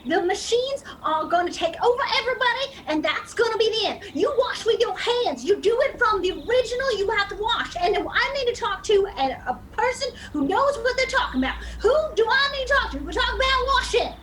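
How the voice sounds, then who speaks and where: Shrill, frantic conspiracy-ranting woman. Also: sing-song, brisk, hardly any pauses, crowd noise. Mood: frantic, wailing. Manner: ranting, wailing, shouting, preacher-like. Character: frantic conspiracy-preaching woman street interviewee. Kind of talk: improvised comedy sketch.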